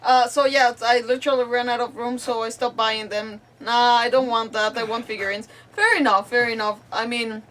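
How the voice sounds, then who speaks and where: A woman's voice: on the high side, fairly even intonation, moderate pace, hardly any pauses, a bit bright, distant mic. A woman chatting casually as she streams a video game. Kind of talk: casual gaming stream chat.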